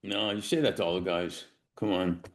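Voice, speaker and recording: A man's voice: deep voice, steady tone, moderate pace, gravelly. A man hosting a casual interview series, chatty and jokey, laughing often between questions. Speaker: interview host.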